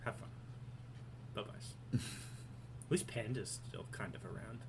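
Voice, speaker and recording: A man co-hosting a podcast, chatting casually. He is excitable and profane, and his loudness swings a lot. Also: fairly low pitch, a little slow, gravelly, background noise. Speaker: podcast co-host. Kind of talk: casual chat.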